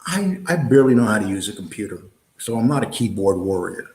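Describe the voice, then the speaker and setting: A man's voice: fairly low pitch, sing-song, fast talker, darkish tone, roomy sound. A man with a New York accent hosting a live online show, answering viewer comments in a blunt, combative way, with many fillers and restarts.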